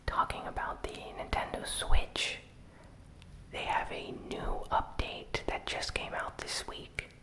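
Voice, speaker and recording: Slow, hushed ASMR whisperer. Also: rough recording. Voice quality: soft unvoiced whisper. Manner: slow, deliberate, pausing between short phrases. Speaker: gaming news host. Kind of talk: ASMR gaming news recap.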